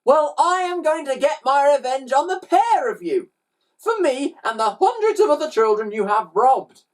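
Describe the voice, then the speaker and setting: A woman acts out a young boy from a children's storybook, pitched high and very animated. He is indignant and determined, snapping out emphatic declarations as someone set on revenge. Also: takes it slowly, recorded from a distance, heavily acted. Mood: enraged, defiant.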